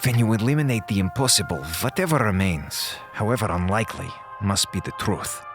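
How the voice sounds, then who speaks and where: A man's voice: lowish voice, brisk, music in the background, natural voice. An actor playing a brusque, pedantic male doctor of science in an audio drama, speaking in formal, precise phrases. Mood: brisk, analytical. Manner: formal, clipped, precise diction.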